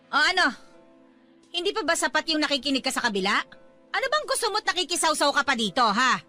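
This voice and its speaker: A woman's voice: high voice, big pitch swings, fast talker, bright, forceful tone, soft background music, heavily acted. A woman playing a harsh, abusive middle-aged neighbor who scolds and insults in a radio drama. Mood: scolding. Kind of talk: Tagalog radio drama acting.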